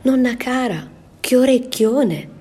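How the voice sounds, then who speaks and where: A woman voicing a bold little girl in a storybook reading, with a high, girlish voice. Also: lively intonation, slow, mellow, breathy edge, full character voice. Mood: sweet. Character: bold little girl, sweet then cunning.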